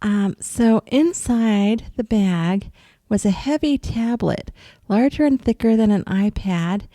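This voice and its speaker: A woman from upstate New York with a cold, casually telling viewers about an experience in a rambling way, with ums and soft laughs. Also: medium pitch, lively intonation, slow pace, no echo. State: has a cold. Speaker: vlogger recounting astral travel.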